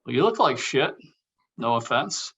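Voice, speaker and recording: A man's voice: medium pitch, sing-song, unhurried, sharp tone, slight background noise, full character voice. A man playing an older actor, a blunt, teasing but caring old friend, in a conversational table read. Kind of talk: casual table read of a comedy-drama script.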